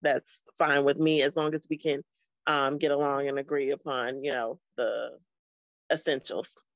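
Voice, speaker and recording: A woman's voice: rumbling low, level tone, measured pace, dry recording. A woman in her late thirties from South Florida, chatting on a video call in a friendly, thoughtful way with frequent ums. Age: 30s. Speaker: guest. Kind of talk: casual video call chat. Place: small room indoors. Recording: dull, dry video call audio.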